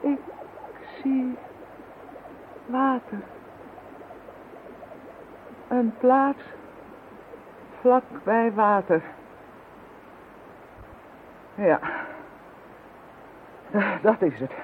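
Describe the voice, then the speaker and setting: A woman playing a frightened psychic in a trance, halting and plaintive, in an audio drama. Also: fairly high pitch, lively intonation, slight background noise. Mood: entranced. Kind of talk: Dutch audio drama acting. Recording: thin phone-like sound, faint music behind.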